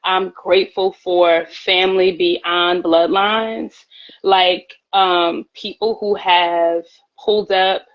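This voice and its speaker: A woman's voice: on the low side, flat delivery, slow, muffled recording. A woman singer talking earnestly as a guest in a casual live-stream chat, often repeating her words. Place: at home, by her pantry. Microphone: phone on a live stream.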